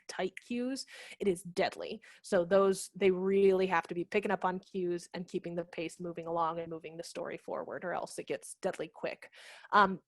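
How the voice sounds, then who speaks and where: A woman's voice: fairly low pitch, dry recording. A woman in her thirties giving a chatty, explanatory workshop talk over a video call. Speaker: theater director and former teacher presenting a workshop. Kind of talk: informal instructional video-call presentation. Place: home room, indoors. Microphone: headset microphone on a video call.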